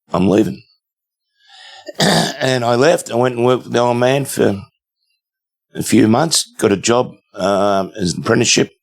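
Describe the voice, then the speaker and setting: A man in his seventies with an Australian accent, a guest telling stories in a relaxed, rambling way with many fillers. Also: on the low side, gravelly. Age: 70s. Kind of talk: casual storytelling interview.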